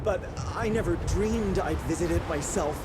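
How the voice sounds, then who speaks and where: A man's voice: sits high, normal speed, very noisy, natural voice. An actor playing an eager, stammering young male scientist sidekick in a video game cutscene. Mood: awed.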